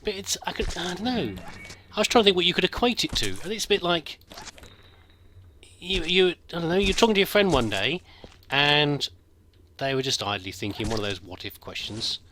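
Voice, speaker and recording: A middle-aged British man with a soft accent, telling a rambling, self-deprecating story over gameplay, full of stops and restarts. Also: fairly high pitch, animated, normal speed, a little hiss or hum. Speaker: gameplay commentator.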